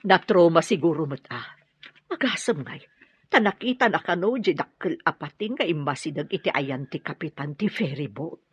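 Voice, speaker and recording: A woman's voice: low voice, expressive, normal speed, slightly rough, no echo, full character voice. A woman playing a concerned confidante in a radio drama, talking over a troubling disappearance. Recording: dull lo-fi audio over music.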